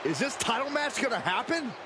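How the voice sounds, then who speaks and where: A man calling wrestling as a commentator, with excited play-by-play delivery. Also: extremely high pitch, brisk. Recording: very noisy game broadcast audio.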